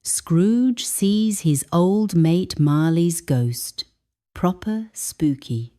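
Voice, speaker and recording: A woman, an English teacher, calmly reading a first-person story aloud as scripted narration. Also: on the low side, extremely slow.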